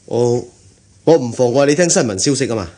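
A man's voice: medium pitch, expressive, a little fast, warm tone, lightly acted. An actor playing a placating male doctor in a radio drama, with a Hong Kong Cantonese accent. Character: placating male doctor attending a grumpy rich lady.

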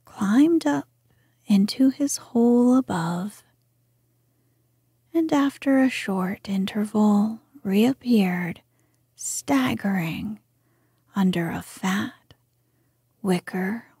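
A woman reading a storybook aloud in a soft, gentle whisper. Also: mid-range voice, extremely slow, pauses often, breathy edge, no room sound, clean studio sound.

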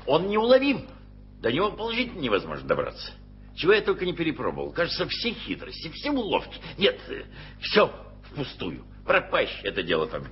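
An older actor playing a fussy, kindly newspaper editor who rambles wistfully, in a radio play. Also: male voice, on the high side, huge pitch swings, fairly quick, slight background noise. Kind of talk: 1980s radio play acting. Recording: dull old radio play recording.

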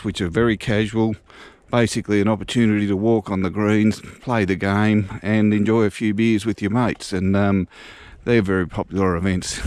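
A man giving a relaxed, casual walking tour outdoors, thinking aloud as he goes. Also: lowish voice, no room sound. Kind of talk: casual walk-and-talk narration. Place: outdoors walking through a small country town.

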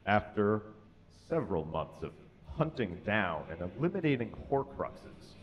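A man in his thirties performing a comic reading live on a small stage into a stand microphone, in a halting way. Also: lowish voice, very animated, muffled recording. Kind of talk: comic live reading of fan fiction to an audience. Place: small indoor stage with curtain and brick wall.

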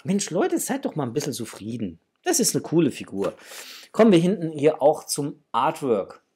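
A middle-aged man with a slightly husky voice, chatting casually and enthusiastically to viewers as the host of an unboxing video. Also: a little high, wildly swooping pitch, moderate pace, warm tone. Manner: enthusiastic, effusive. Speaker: host of a toy collecting channel. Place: small room at home with framed posters, indoors.

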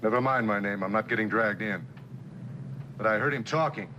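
A man plays a secretive tipster calling from a noisy phone booth in a 1960s television drama. His voice is fairly low. He talks tersely and insistently, keeping his guard up. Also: brisk, lo-fi sound, lightly acted. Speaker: actor as anonymous caller.

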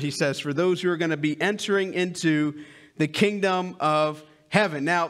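A man in his thirties preaching a sermon on a church stage through a headset mic, with loud, emphatic lines and hushed asides. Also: fairly high pitch. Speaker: pastor.

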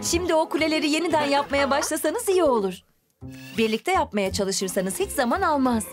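A woman's voice: high-pitched, lively intonation, fairly quick. A woman playfully narrating a toddlers' cartoon, encouraging and exclaiming as she addresses the characters. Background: music.

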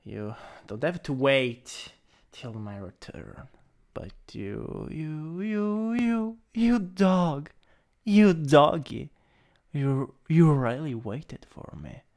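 ASMR roleplay: male performer as teasing, possessive big stepbrother, Italian accent, very drawn-out delivery, gravelly fry. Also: fairly high pitch, animated, a little mellow, exaggerated voice. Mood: smug, delighted. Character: possessive, teasing, dominant older stepbrother back from military.

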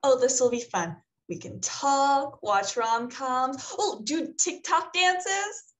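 A woman's voice: sits high, animated, slow pace. A woman playing a bubbly, eager, kind neighbor in a sitcom table read, chirpy and friendly. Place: indoor room at home on video call. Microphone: video call.

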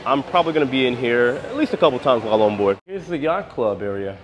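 A man's voice: medium pitch, animated, fast talker, highs cut off, a little hiss or hum. An American man in his thirties giving a casual walking-tour commentary as a travel vlogger, recorded on a handheld camera. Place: walking around a cruise ship, decks and indoor areas. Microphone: handheld camera at arm's length.

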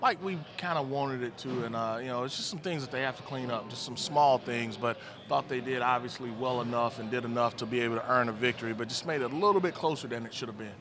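A man in his forties, a former player, giving relaxed postgame football analysis into a handheld mic on a studio set. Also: medium pitch, fast talker, few pauses, crowd noise. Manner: relaxed, thoughtful, many "you know" fillers. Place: studio set with team backdrop, indoors. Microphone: handheld microphone.

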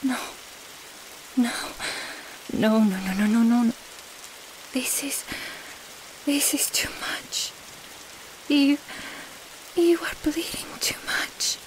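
A woman's voice: fairly high pitch, expressive, in a whisper, poor audio. A woman acting an elegant, menacing vampire mistress in an audio roleplay, speaking theatrically with touches of French. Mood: distraught. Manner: slow, theatrical, sprinkles French phrases.

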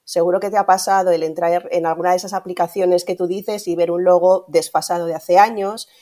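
A woman's voice: fairly low pitch, steady tone, fairly quick, mellow. A middle-aged woman with a Castilian Spanish accent explaining things as an interview guest, often ending phrases with tag questions. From Spain. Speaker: interview guest, radio streaming executive. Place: indoor room, video call. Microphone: video call.

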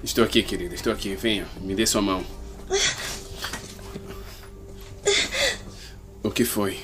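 A man's voice: on the low side, fairly even intonation, fast, over music, lightly acted. A Brazilian man playing a protective, desperate father in a dramatic video game fan dub. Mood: tender, urgent. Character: protective, desperate father fleeing with injured daughter. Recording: clear noise and ambience behind voice.

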